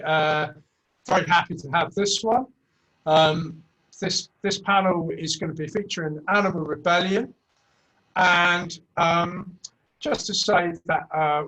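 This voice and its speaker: A man opens an online festival panel in a chatty, rambling way, his voice sitting a bit high for a man. He is generous with ums and uhs as he welcomes the viewers. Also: fairly bright tone, low-quality recording. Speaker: festival host.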